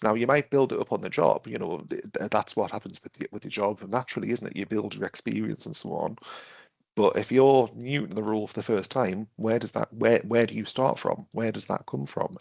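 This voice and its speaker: Male trainer, gravelly, a bit of a cough, dry close sound, chatty and questioning on a video call. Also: lowish voice, darkish tone, no echo. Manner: conversational, thinks aloud with restarts, asks the audience questions. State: has a cough and is losing his voice. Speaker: webinar trainer. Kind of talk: interactive online training talk.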